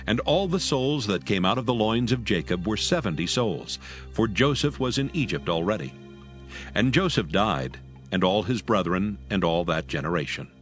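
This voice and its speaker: A man narrating scripture in a measured, solemn reading. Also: fairly low pitch, expressive, faint music behind.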